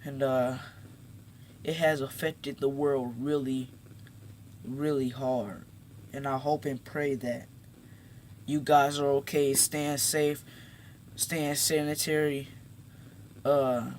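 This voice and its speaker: A teenage boy talking casually to his viewers, halting and repeating words, then growing emphatic as he makes his point. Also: mid-range voice, flat delivery, slight background noise. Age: teens. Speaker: YouTuber. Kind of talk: casual talk to camera. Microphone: phone.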